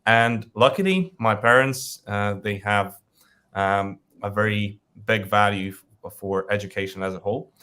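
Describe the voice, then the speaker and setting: A man speaks in a fairly low voice. He presents in a webinar, filling his sentences with "uh" and "um" as he goes. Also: measured pace, pushed and bright, smooth. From Russia. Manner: hesitant, many fillers. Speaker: company founder presenting.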